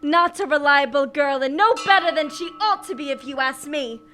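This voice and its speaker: A woman's voice: high-pitched, moderate pace, pushed and bright, slight background noise. A woman playing a sour, callous, gossipy inn servant in a radio mystery drama, with a matter-of-fact, disapproving manner.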